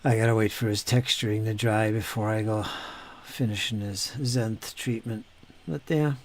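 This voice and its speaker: A man's voice: fairly low pitch, fairly even intonation, moderate pace. A man hosting a casual live stream while painting miniatures, chatting with viewers by name and muttering to himself as he works. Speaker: hobby streamer.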